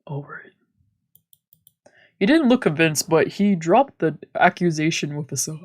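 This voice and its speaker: Husky woman narrating a game. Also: low-pitched, lively intonation, moderate pace, darkish tone. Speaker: let's play streamer. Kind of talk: visual novel narration with casual commentary.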